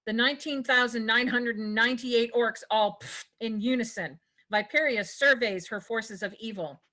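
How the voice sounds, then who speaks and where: A woman's voice: on the high side, moderate pace, pushed and bright. A woman reading scripted stage directions as the narrator of a table read.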